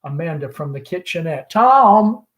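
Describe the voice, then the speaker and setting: A man, a school principal, reading a play's stage directions aloud in a halting, self-correcting way. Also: medium pitch, darkish tone, lo-fi sound.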